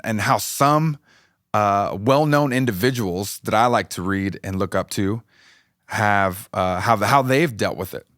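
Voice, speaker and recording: A middle-aged American man with a slightly raspy voice hosting a motivational podcast, speaking informally and encouragingly, repeating phrases for emphasis. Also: lowish voice, fairly bright tone, dry recording, clean studio sound. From United States, California. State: slightly raspy voice from a passing illness. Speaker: podcast host.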